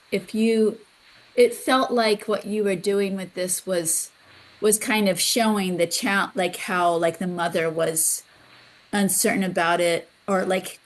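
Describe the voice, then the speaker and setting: A woman's voice: medium pitch, rough recording. A woman putting an informal question in a discussion, tentative and meandering. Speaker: audience member asking a question.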